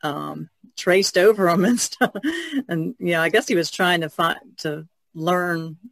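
A man's voice: a little high, steady tone, unhurried, gravelly, a bit bright, dry recording. A man chatting casually as a podcast panelist, in a tentative, rambling way. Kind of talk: casual chat.

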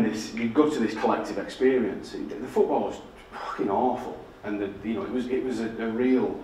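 A man's voice: fairly low pitch, a little hiss or hum. A middle-aged man with a thick Yorkshire accent, telling stories on stage in a blunt, sweary, self-deprecating way. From Yorkshire, England. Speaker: designer giving a public talk. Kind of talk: casual storytelling talk with heavy swearing. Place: dark theatre stage with projector screen. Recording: echoey hall heard from a distance.

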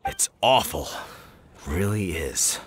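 A man's voice: sits low, expressive, slow, slightly rough, full character voice. A man voicing a mild, worried older villager in a video game, polite and resigned.

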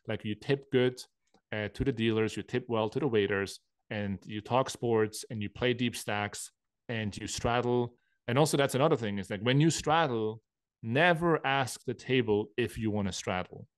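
A man's voice: medium pitch, normal speed, no echo. A man, a guest on a podcast, giving direct, assertive advice in casual interview talk. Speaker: podcast guest, poker player.

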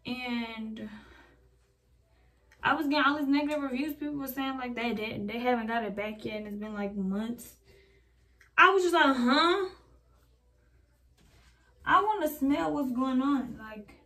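A woman's voice: sits high, unhurried, long pauses, pushed and bright. A young woman chatting casually to her camera while doing her hair in a small bedroom, rambling from one thought to the next. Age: young adult. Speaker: vlogger. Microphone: camera a few feet away. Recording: voice a bit far from the mic.

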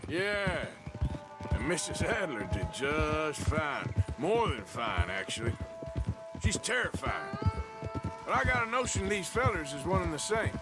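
Seasoned American outlaw for Western game: folksy drawl, higher-set, swinging pitch, wry and cautious, music behind. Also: male voice, big pitch swings, unhurried. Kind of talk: video game dialogue in a Western. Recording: music under the dialogue, horse hooves. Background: loud music and horse hooves.

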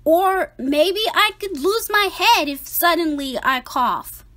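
A woman voicing an anxious, dramatic five-year-old boy in an expressive picture-book read-aloud, with emphatic, theatrical delivery. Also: very high voice, slow pace, exaggerated voice. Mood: scared, fretful.

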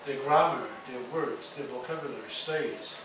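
Halting man lecturing in an echoey hall. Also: medium pitch. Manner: halting, many fillers and restarts. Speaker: university lecturer. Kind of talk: classroom lecture. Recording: echoey lecture hall, distant mic, noisy.

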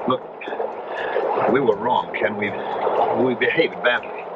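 On a muffled old radio recording thick with noise, an actor plays an earnest young husband. He is a man of middling pitch, devoted and urgent, with the theatrical delivery of seventies radio drama. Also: steady tone, a little slow, close to an everyday voice. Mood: relieved, sincere. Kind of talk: 1970s radio drama acting.

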